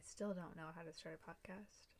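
A woman's voice: on the low side, fairly even intonation, brisk, very noisy. A young adult woman co-hosting a casual podcast, recounting history from her notes with small restarts and asides. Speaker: podcast co-host. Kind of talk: casual chat, then telling a researched history.

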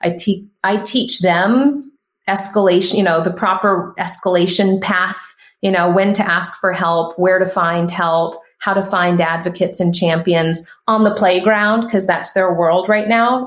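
A woman's voice: fairly low pitch, measured pace. Emphatic woman in an echoey room. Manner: emphatic, animated, frequent restarts. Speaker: podcast guest. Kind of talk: casual conversational interview.

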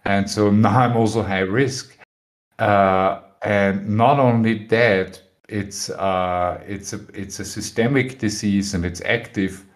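A man's voice: lowish voice, steady tone, slow. A young adult man from Austria, talking frankly as a guest on a podcast and often searching for his words. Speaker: guest musician. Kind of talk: casual podcast interview.

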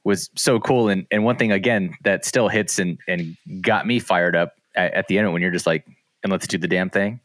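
A man with a lower voice hosts a casual podcast chat. He sounds enthusiastic and fired up. The recording is dry and close. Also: normal speed, a bit bright, no room sound. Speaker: podcast host.

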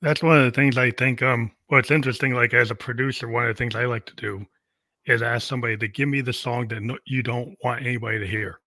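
A man's voice: mid-range voice, fairly even intonation, fast, bright, forceful tone, no echo. A man hosting an interview as a music producer, talking in an earnest, reflective way. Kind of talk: casual interview chat.

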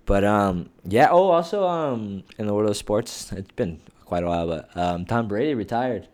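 A young man with a fairly low voice talks loosely on a podcast. He rambles, restarts his thoughts and peppers every sentence with slang. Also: animated, normal speed, darkish tone. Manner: slangy, rambling, says "bro" and "dog" a lot. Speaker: podcast co-host. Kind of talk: casual chat.

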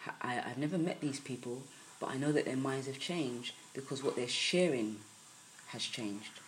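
A woman with a croaky early-morning voice giving a soft, unhurried spiritual podcast talk, with many pauses and fillers. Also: very deep voice, a little hiss or hum, low-quality recording.

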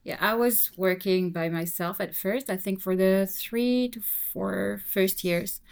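A young woman whose first language is French, talking relaxed and casually as an interview guest, with many fillers. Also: medium pitch, unhurried, gravelly. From Belgium. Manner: relaxed, frequent fillers and "you know". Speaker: guest translator.

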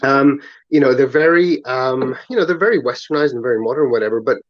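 A man's voice: medium pitch, fast talker, no echo, muffled recording. A man in his thirties from Kuwait with an international school English accent, chatting casually and rambling through stories as an interview guest. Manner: talkative, self-deprecating, hesitant phrasing. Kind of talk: casual, rambling conversational storytelling.

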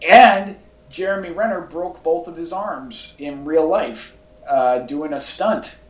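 A man's voice: fairly high pitch, slow. A man chatting casually as a podcast host, talking like an enthusiastic fan with plenty of casual slang. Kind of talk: casual pop-culture podcast chat. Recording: echoey, roomy home podcast audio.

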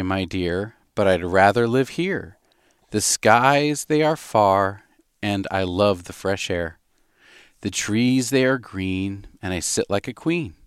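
A man's voice: on the low side, clean tone, no room sound, studio quality. Man reading as a contented little storybook bird. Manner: lilting, measured rhyming recital. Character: contented, kindly little bird living free.

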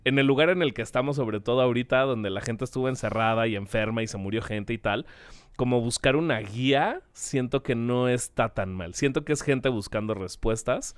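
Smooth-voiced man musing aloud. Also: medium pitch, no echo. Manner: reflective, measured, peppers talk with "¿sabes?". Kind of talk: casual chat.

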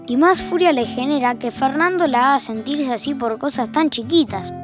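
A woman narrates a scripted audio fiction in a Rioplatense accent. Her voice sits somewhat high. Music plays lightly behind her. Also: normal speed. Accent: Rioplatense Argentine.

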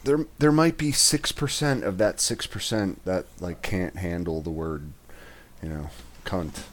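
A man's voice: deep voice, unhurried, slight background noise. A man co-hosting a podcast, chatting in a laid-back, rambling way with many fillers. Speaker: podcast co-host. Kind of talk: casual podcast chat.

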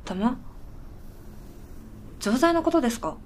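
A woman's voice: high voice, animated, slow, full character voice. An actress playing a polite, puzzled and tired woman with a dry, blunt streak in a radio drama. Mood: puzzled, timid. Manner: polite, dry, matter-of-fact. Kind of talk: Japanese radio drama dialogue.

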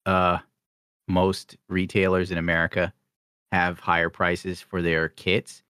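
A man's voice: low-pitched, monotone, measured pace, bright, forceful tone, clean tone, no room sound, clean studio sound. A man chatting casually on a podcast in a wry, matter-of-fact way. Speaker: podcast co-host. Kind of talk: casual chat.

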